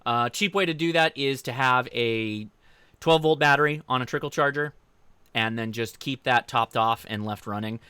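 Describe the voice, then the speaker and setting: Bright, clear-voiced American man, casual livestream host. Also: mid-range voice, normal speed, no echo. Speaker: host of a ham radio channel. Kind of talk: casual livestream chat, answering viewer comments.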